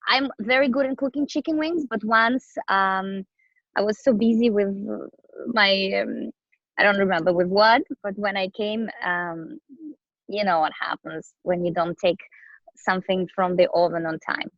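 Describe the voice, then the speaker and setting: A woman's voice: medium pitch, normal speed, dry recording, lo-fi sound. A bubbly woman chatting casually as an interview guest, a professional dancer whose native language may be Romanian. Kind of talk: casual chat.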